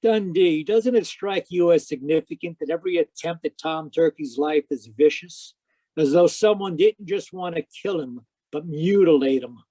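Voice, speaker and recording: A man's voice: a little high, moderate pace, dark tone, dry recording, lightly acted. A man playing a sardonic, wisecracking private detective, narrating an old-time radio mystery in a wry scripted style. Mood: urgent, sardonic.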